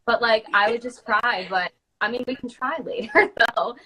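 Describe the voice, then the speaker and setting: A woman's voice: on the high side, normal speed, pushed and bright, slight background noise, rough recording. A woman co-hosting a casual chat in an informal, agreeable, giggly way. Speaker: children's party princess performer, co-host.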